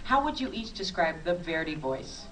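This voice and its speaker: Woman host, slightly low, distant mic. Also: sing-song, fairly bright tone. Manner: enthusiastic, quick affirmations. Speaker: interviewer, intermission host. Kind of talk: broadcast interview and scripted intro. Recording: distant mic with faint ambient noise.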